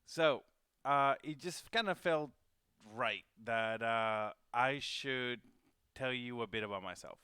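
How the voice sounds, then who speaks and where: A man's voice: medium pitch, takes it slowly, bright, forceful tone, smooth, no room sound, studio quality. A man from Venezuela hosting a livestream, talking casually and rambling with many fillers and restarts. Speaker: host. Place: home studio.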